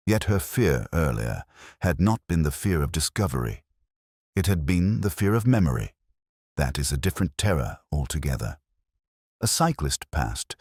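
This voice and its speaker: Really deep guy narrating a moody detective story, measured and literary, lots of rise and fall in the voice. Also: no echo, studio quality. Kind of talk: scripted first-person detective story narration.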